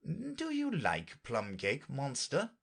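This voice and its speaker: A man's voice: medium pitch, huge pitch swings, takes it slowly, vocal fry, voice pushed up, exaggerated voice. A man voicing a tired, grumpy great lion from a storybook, with a weary, growling drawl. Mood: gruff. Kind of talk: storybook character reading.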